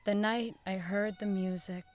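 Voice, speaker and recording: A woman's voice: mid-range voice, steady tone, unhurried, soft background music, natural voice. A woman playing a haunted but level-headed radio station worker in an audio drama. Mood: haunted, wistful. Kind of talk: radio drama acting and first-person narration.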